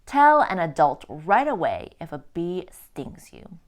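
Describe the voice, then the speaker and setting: Low-voiced woman reading a storybook, unhurried. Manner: expressive, deliberate phrasing for young listeners. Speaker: teacher reading a picture book aloud. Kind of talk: children's storybook read-aloud.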